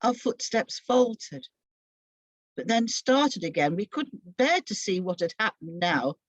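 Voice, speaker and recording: A woman narrates a Bible story in the first person, solemnly and with expression. She takes her time over the scripted lines, at a middling pitch. The recording is dry and a little dull. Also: dry recording.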